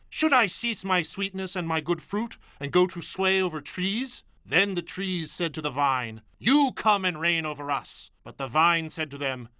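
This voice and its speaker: A defiant young man of Bible times in a radio drama. His voice is fairly high and bright, forceful as he declaims to a crowd, with a dry, close sound. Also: clean tone, no room sound. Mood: storytelling, declamatory. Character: defiant young biblical man crying out a warning parable.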